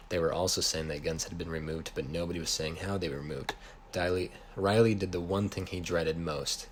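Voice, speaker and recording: Deep-voiced American man reading a story. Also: a bit bright. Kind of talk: reading own written story aloud. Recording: noisy home recording.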